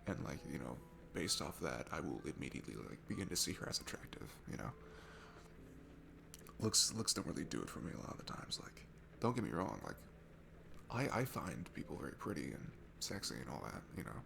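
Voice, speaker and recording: A man playing a shy, insecure, sweet guy at a bar in an intimate audio roleplay, talking in a nervous, halting, apologetic ramble. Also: a bass voice, sing-song, creaky, noisy background, heavily acted. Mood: shy, confiding. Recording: music behind the voice. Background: music.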